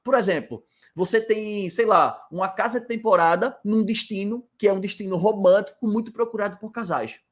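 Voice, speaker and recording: A man's voice: sits high, normal speed. A man with a Brazilian Portuguese accent who teaches informally to camera from indoors in a rental house, explaining a point and then answering his own rhetorical questions. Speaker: hospitality business coach.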